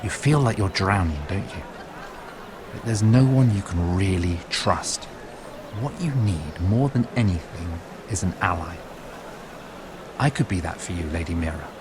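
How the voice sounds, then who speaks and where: Low, animated scheming nobleman. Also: a little slow, noisy background. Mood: sly, persuasive. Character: scheming male noble merchant, smooth and calculating. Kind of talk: dramatic game cutscene acting.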